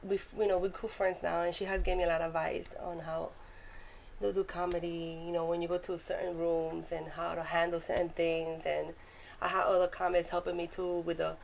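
A woman from New York with a Puerto Rican Bronx accent, a comedian guest chatting fast and animatedly on a podcast. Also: fairly low pitch, gravelly, a little hiss or hum. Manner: fast-talking, animated, laughs often. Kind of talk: casual podcast chat.

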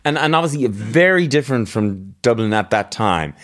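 A man's voice: lowish voice, lively intonation, normal speed. A male interviewer who listens closely, adds short agreements, and sums up what his guest has said. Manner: attentive, frequent brief agreements, summarising. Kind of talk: interview conversation.

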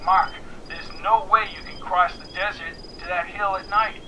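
A man voicing an anxious, cautious woman in an audiobook, speaking softly and earnestly. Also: sits high, fairly even intonation, moderate pace, a little hiss or hum, voice pushed up, low-quality recording. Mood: warning.

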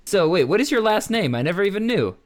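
A man's voice: sits high, quick pace. A man hosting a comedy show, wisecracking through music news and chatting with a guest. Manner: wisecracking, animated. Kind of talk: comic music news chat.

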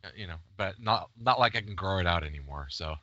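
A middle-aged man chatting casually and jokingly as host of a sports podcast, with an animated, self-deprecating manner. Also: low-pitched, fast talker, no echo. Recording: dull, lo-fi voice recording.

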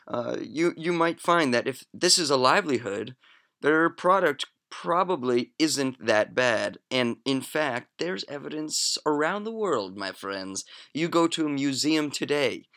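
A young man hosts a podcast and talks through history facts in a relaxed, chatty way. He drops jokes and asides and fills pauses with "uh" and "um". His voice is bright and sits at a medium pitch. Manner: chatty, joking asides, frequent fillers. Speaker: podcast host. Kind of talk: casual educational monologue.

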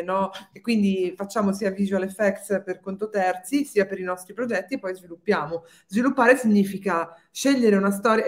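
A woman speaking as a guest film producer in a casual live-stream interview, explaining and correcting herself as she goes. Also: medium pitch.

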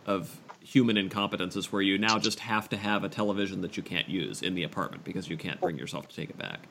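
A man with a fairly low voice chats casually as a podcast co-host. His delivery is halting and hesitant, with a dry, analytical turn. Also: fairly bright tone, slight background noise. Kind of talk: casual chat among friends.

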